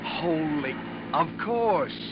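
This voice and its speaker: An actor playing a blunt, excitable male police sergeant in a 1950s radio drama. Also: on the high side, drawn-out words. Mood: eager. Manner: blunt, exclamatory, barks orders. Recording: muffled old broadcast with loud hiss.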